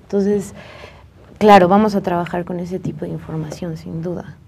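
A woman's voice: on the low side, steady tone, moderate pace, creaky, a little mellow, slight background noise. A woman, talking informally as a guest in a studio interview. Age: 20s. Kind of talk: informal panel interview talk. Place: TV studio, indoors, round table.

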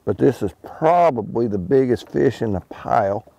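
Middle-aged male angler, outdoors on a lake boat, relaxed instructional talk with frequent fillers. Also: mid-range voice, normal speed, creaky, poor audio. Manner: relaxed, explains as he fishes, many ums and restarts. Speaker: fishing pro. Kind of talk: casual how-to fishing talk.

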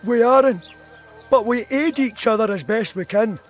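A man's voice: extremely high pitch, moderate pace, faint music behind. An actor playing a courteous non-human male trader of old curios in a video game. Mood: courteous, welcoming.

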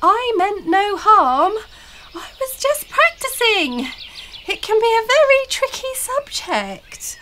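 A woman's voice: extremely high pitch, big pitch swings, slow pace, sharp tone, slight background noise, voice pushed up, exaggerated voice. A woman voicing a tiny, plucky fairy child in a storybook, protesting in a polite, prim way. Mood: apologetic pleading. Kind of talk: storybook character voice.

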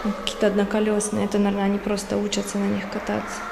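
A woman's voice: medium pitch, monotone, quick pace. A woman from Kazakhstan walking through a school and chatting to her viewers, filming on a handheld camera. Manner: chatty, explaining to viewers with small laughs and filler sounds. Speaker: vlogger. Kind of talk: casual walking vlog narration. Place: inside a large school building, rooms and corridors, partly outdoors. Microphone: handheld camera, speaker off screen. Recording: camera recording with clear background noise.

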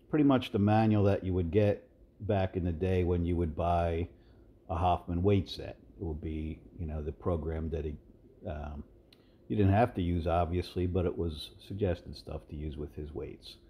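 Low, dark-voiced man in his sixties. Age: 60s. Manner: rambling, relaxed, many fillers and restarts. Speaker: fitness video host. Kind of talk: casual voice-over commentary.

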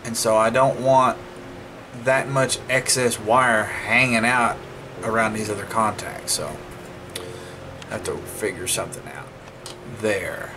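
A man's voice: on the low side, fairly even intonation, a little slow. A man giving informal, folksy hands-on commentary, thinking aloud as he hosts a repair vlog indoors at a work table. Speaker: host of a DIY electronics repair vlog. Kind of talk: casual hands-on commentary while working. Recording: home recording with clear background noise.

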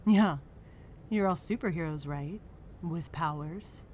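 A woman's voice: fairly low pitch, slow, a little mellow, slight background noise. A woman playing a confident, challenging mentor in a superhero comic dub, with music underneath. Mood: cool, assured. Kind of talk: acted comic dub dialogue.

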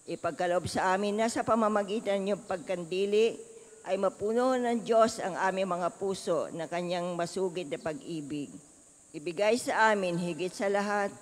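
A person leading liturgical prayers in a steady, solemn way. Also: mid-range voice, normal speed, long reverb tail. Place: church.

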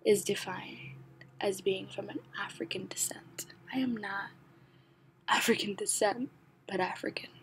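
A young woman from Somalia raised in the United States, with an American accent, reading her own story in a reflective, even voice with ironic asides, soft and partly whispered. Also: mid-range voice, vocal fry, slight background noise. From born in Somalia, raised in the United States. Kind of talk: read personal narration.

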